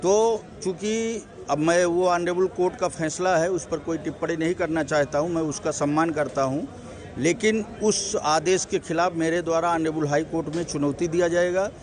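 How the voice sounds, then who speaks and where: A man with a north Indian accent and a slightly high voice speaks as a lawyer making a press statement outdoors. He is formal in delivery and drops English legal terms into his sentences. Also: moderate pace. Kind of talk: press statement on a court ruling.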